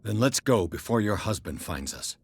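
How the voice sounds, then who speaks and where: A man acting as a determined, gruff detective in a video game, with a terse, urgent, questioning manner. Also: fairly low pitch, very animated, normal speed, background noise. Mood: urgent, insistent. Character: gruff, determined male detective protagonist on a rescue.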